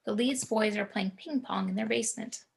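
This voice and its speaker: Measured woman narrating a screenplay. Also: mid-range voice, vocal fry. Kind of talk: reading screenplay action descriptions.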